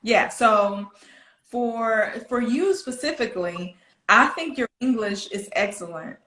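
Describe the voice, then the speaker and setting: A woman's voice: a little high, lively intonation, takes it slowly, bright, forceful tone, reverberant, poor audio. A woman with an American Southern accent coaching one-to-one, explaining things directly and checking in with questions. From southern United States. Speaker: English pronunciation coach.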